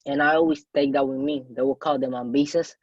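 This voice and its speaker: A man from Cuba talks in a loose, rambling way, full of "you know" fillers. His voice sits at a middle pitch. The sound is dull and dry, with no room around it. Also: steady tone, brisk, dry recording. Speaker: student panelist. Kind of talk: casual panel answer.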